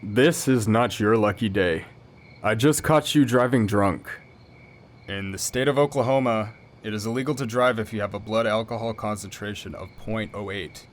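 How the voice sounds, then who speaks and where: A man's voice: fairly low pitch, moderate pace, slight background noise, natural voice. A man playing a stern, formal and officious police officer in an audio drama.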